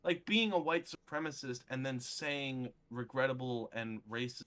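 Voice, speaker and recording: A man in a casual, opinionated discussion, speaking haltingly and repeating phrases for emphasis. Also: mid-range voice, a bit bright.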